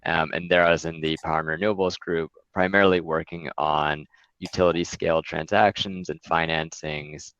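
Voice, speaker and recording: A man presenting his investment firm in a relaxed webinar talk, with frequent fillers. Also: rumbling low, no echo, lo-fi sound.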